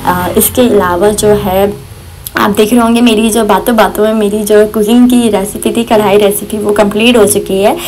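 A woman's voice: mid-range voice, steady tone, normal speed, a little mellow, slight background noise, poor audio. A young woman, chatting casually in a voice-over for her home cooking vlog, rambling with many fillers and religious phrases. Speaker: cooking vlogger. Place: home kitchen. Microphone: voice-over recorded separately.

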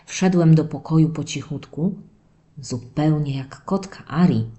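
A woman voicing a playful young male terrier puppy who tells stories from his diary to children in an expressive, dramatic way. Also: low voice. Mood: excited, mischievous. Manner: expressive, drawn-out dramatic telling addressed to children. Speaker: narrator of a children's audio story series. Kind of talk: animated first-person children's storytelling.

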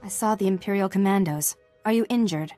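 An actress playing a stern, earnest Jedi warrior in a video game, speaking in a grave, formal manner. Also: female voice, medium pitch, fairly quick, dark tone, no room sound, faint music behind, natural voice. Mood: concerned, reproving.

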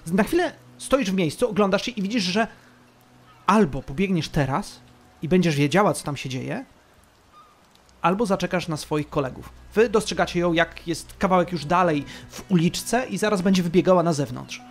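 A man narrating a tabletop fantasy game as game master, storytelling with dramatic, deliberate pauses. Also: high voice, lively intonation.

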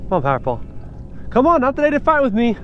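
A man's voice: very high voice, huge pitch swings, fast. A man giving casual running commentary while fishing, talking to himself outdoors on a small boat. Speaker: fishing vlogger. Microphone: body-worn action camera. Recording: muffled action camera audio with clear outdoor boat noise.

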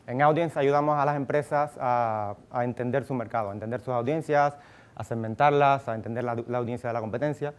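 A man's voice: medium pitch, fairly quick, clean tone. A man in his thirties from Cuba with a Cuban accent, giving a casual conference talk to a live audience on a handheld mic, full of fillers and restarts. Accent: Cuban Spanish. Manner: casual, thinks aloud with many fillers and restarts. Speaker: conference speaker. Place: conference room, audience present. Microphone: handheld microphone.

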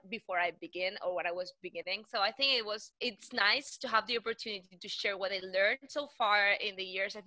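Bright, eager woman from Spain. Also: mid-range voice, no echo. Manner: enthusiastic, laughs easily, talks with many restarts. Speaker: co-host and postdoc. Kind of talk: casual online panel chat. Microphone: video call.

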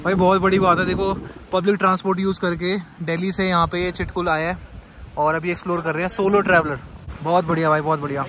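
A man's voice: high voice, level tone, quick pace. A young man chatting upbeat to viewers in a travel vlog, outdoors by a river in the snow. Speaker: travel vlogger. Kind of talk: casual vlog chat. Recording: outdoor recording with loud wind noise.

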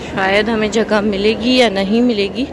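Pakistani urdu-accented lady walking a crowded mosque, soft and explanatory, talking into her phone, lots of crowd noise. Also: mid-range voice, steady tone, normal speed. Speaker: vlogger. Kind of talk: walking tour vlog narration. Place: outdoors and inside a large crowded mosque. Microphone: handheld phone camera. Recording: noisy crowd ambience.

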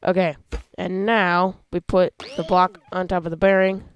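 A man's voice: high voice, normal speed. Chatty young man explaining a building game. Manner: chatty, explains while building, excited yelps when driving. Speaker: gameplay video host. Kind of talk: casual let's-play commentary.

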